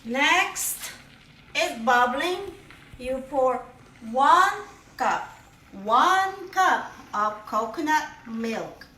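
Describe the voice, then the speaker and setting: A woman's voice: sits high, expressive, extremely slow, pushed and bright. A middle-aged woman cheerfully demonstrating a home recipe in a casual, joking way, recorded from across her kitchen. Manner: playful, jokey, cheery "ta-da" exclamations. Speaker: home cook vlogger. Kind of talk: casual cooking demo. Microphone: far camera. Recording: roomy kitchen echo, distant mic, faint kitchen clatter.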